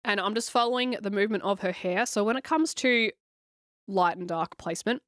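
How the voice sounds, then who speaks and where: A woman, hoarse and scratchy from a cold, talking through a coloring tutorial in a chatty, encouraging way. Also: medium pitch, fairly quick, a bit bright, no room sound, studio quality. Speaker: coloring channel host.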